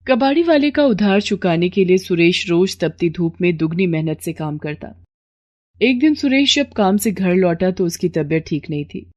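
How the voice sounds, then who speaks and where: A woman's voice: lowish voice, animated, moderate pace, darkish tone, dull audio. A woman narrating a scripted moral story as a storyteller.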